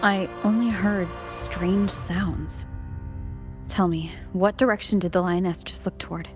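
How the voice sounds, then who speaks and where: A woman voicing a calm, perceptive, earnest and reserved wandering heroine in a video game. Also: lowish voice, measured pace, music in the background, lightly acted. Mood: intent. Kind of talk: voiced game dialogue. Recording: echoey, long reverberant tail.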